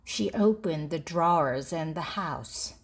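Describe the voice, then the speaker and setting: A woman reading a children's story aloud in short, deliberate phrases for language learners. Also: deep voice, expressive, breathy edge. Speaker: storybook narrator.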